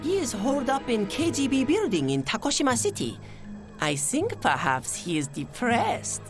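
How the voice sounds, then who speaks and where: Game casting: jilted, scheming doctor, fairly high voice, very animated, melodramatic and stilted. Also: female voice, normal speed, over music. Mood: sly. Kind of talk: video game cutscene acting.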